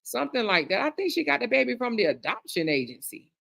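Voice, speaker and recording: A woman's voice: on the high side, fast talker, vocal fry. Chatty woman reading viewer comments. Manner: chatty, calls viewers girl, honey, child; reads comments aloud with lively reactions. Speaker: live stream host reading viewer comments. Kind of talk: casual chat.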